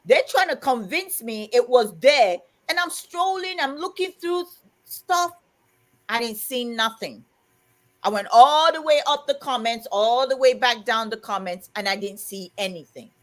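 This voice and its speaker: A woman co-hosting a live chat stream, telling animated stories, laughing often and reading viewer comments aloud. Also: fairly high pitch, measured pace. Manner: animated, laughs often, acts out quoted dialogue. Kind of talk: casual chat, storytelling.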